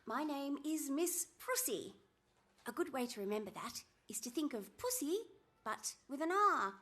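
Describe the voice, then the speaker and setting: Prim, high-pitched cartoon schoolmistress, played by a woman. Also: very animated, normal speed, fairly bright tone. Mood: prim, cheerful. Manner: prim, theatrical. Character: prim, strict, excitable substitute schoolmistress. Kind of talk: animated comedy voice acting.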